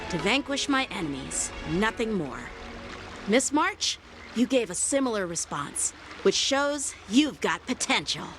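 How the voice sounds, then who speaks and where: A woman playing a polite, earnest teenage boy swordmaster in video game voice acting, speaking in a formal, measured way. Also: medium pitch, very animated. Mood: earnest, instructive. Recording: game music behind the voice. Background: game background music.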